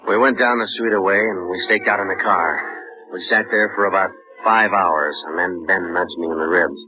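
A man's voice: sits low, normal speed, natural voice. An actor playing a terse, methodical American police sergeant who narrates his case matter-of-factly in a 1950s radio drama. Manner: clipped, matter-of-fact. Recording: dull old radio recording with music.

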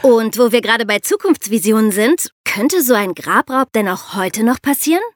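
A woman's voice: on the high side, animated, moderate pace, dry recording, studio quality. A young woman co-hosting a podcast, explaining and asking in a conversational tone. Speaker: podcast co-host.

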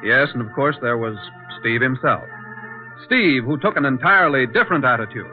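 A man's voice: mid-range voice, animated, normal speed. A man announcing and narrating a 1940s radio drama in a portentous, declamatory, theatrical style. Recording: narrow, tinny 1940s radio recording. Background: dramatic music.

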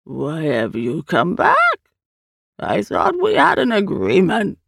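Woman acting out guarded inhuman creature, audiobook fantasy, drawn-out stern delivery, creaky, dry close sound. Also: on the low side, huge pitch swings, dry recording, full character voice. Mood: angry, wary. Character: the Eye, floating many-armed creature, slow, stern, guarded.

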